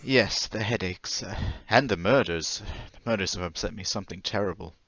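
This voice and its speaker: A man's voice: low voice, moderate pace, a bit bright, dry recording. A man playing a nervous, apologetic middle-aged traveling salesman in a radio drama, stammering and hesitant. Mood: flustered, anxious. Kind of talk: old-time radio drama acting. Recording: dull, lo-fi audio.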